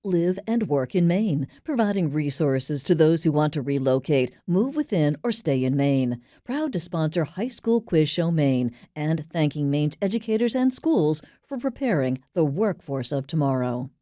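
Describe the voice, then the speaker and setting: A woman delivers a scripted sponsor message in a low, mellow voice. Her phrasing lifts and falls with lively movement, and the dry recording keeps her sound close. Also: moderate pace, few pauses, dry recording. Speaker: sponsor announcer.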